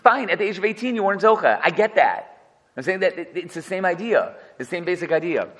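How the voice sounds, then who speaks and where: A man's voice: sits high, expressive, brisk, a bit bright, big-room sound. A man giving an informal, joking Torah class and putting questions to his students. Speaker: Torah teacher.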